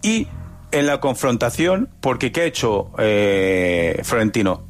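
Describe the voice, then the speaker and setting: A man's voice: mid-range voice, sing-song, a little slow, soft background music. A man giving emphatic football analysis on a talk show, repeating phrases for rhetorical effect. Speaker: sports pundit on a panel.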